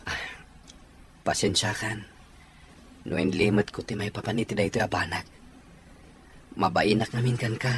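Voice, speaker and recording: A man's voice: lowish voice, moderate pace, pauses often, fairly bright tone, a little hiss or hum. Man acting a hesitant, dutiful young son. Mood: ashamed, apologetic. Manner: hesitant, apologetic. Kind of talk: audio drama acting.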